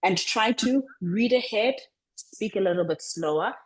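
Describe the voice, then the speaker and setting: A woman coaching public speaking over a video call, talking in a deliberately lowered register and often repeating words as she restarts. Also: lively intonation, poor audio. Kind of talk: online coaching session.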